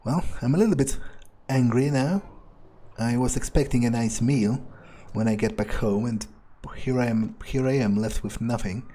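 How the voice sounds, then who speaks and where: A man roleplaying a cold, entitled, predatory vampire, musing aloud and swearing in husky in-character game commentary. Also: mid-range voice, mellow, slight background noise, close to an everyday voice. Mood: irritated. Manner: muttering to himself, swearing, deliberate musing. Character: cold, cruel, foul-mouthed male vampire, entitled and predatory. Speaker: gamer playing in character.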